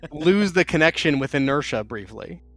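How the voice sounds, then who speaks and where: A man's voice: a little high, lively intonation, moderate pace, fairly bright tone, soft background music. A man acting as game master, explaining rules and setting up the scene for his players. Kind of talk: running a tabletop game.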